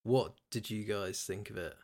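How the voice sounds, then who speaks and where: A man's voice: on the low side, normal speed, a little mellow. A British man hosting a podcast in casual chat about games and films. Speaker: podcast host.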